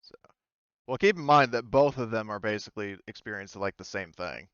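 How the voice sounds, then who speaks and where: Smooth-voiced man running a game, dry sound. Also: on the low side, a bit bright, no room sound, lo-fi sound. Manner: halting, conversational, mild swearing. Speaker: game master. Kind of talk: casual tabletop game narration.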